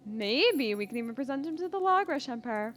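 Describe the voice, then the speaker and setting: A woman voicing a cruel, gloating male slave trader in a fantasy audio drama, speaking in a mocking low drawl. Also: lively intonation, a little fast, a little hiss or hum.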